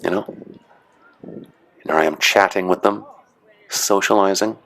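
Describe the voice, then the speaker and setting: Low-voiced rambling referee commentator. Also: male voice, steady tone, unhurried, gravelly, a bit bright, low-quality recording. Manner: rambling, chatty, frequent "you know" and "uh"; dry joking asides. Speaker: referee narrating match footage. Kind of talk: casual commentary.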